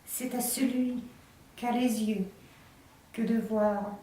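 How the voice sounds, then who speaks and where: Very slow, echoey woman reciting poetry. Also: fairly high pitch, fairly even intonation, mellow. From Russia. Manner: deliberate, declamatory, short phrases with pauses. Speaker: poet reading own work. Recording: very echoey room, distant mic, faint noise.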